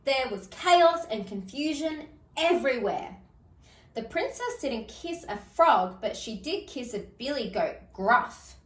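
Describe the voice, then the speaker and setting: Slow-paced woman reading a children's story. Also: high-pitched, big pitch swings, reverberant, distant mic. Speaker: storybook reader. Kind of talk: expressive children's story read-aloud.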